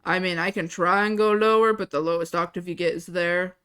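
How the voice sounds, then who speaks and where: A young woman chatting on a live stream in a flat, monotone way, cursing casually as she talks. Her tone is bright and forward. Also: fairly low pitch, a little fast, clear, resonant tone. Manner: self-described monotone, swears freely, casual.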